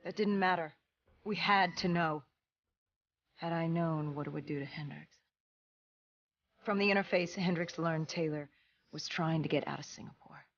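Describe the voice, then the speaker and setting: An actor playing a grim, battle-worn soldier in a video game, recounting an apocalypse in a grave, halting, deliberate way. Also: medium pitch, a bit bright, lo-fi sound, slight background noise, close to an everyday voice. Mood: grave, tense. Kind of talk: dramatic cinematic narration.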